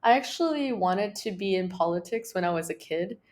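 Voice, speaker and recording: A woman's voice: mid-range voice, moderate pace. A young woman from Malaysia, a startup co-founder, chatting casually as an interview guest with lots of fillers and restarts. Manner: relaxed, many fillers and restarts.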